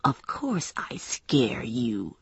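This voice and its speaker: Woman voicing a husky, menacing male fugitive. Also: medium pitch, very animated, unhurried, no room sound, muffled recording, exaggerated voice. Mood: sinister. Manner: taunting, threatening. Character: menacing, mocking young male fugitive, cocky and belligerent.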